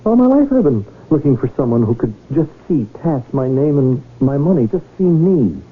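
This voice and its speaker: A husky, hesitant, naive rich man. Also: fairly high pitch, very animated, moderate pace. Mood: wistful, earnest. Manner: hesitant, earnest. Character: lonely, naive, nervous rich man, would-be king. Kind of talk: 1970s radio drama acting. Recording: dull, band-limited 1970s radio recording.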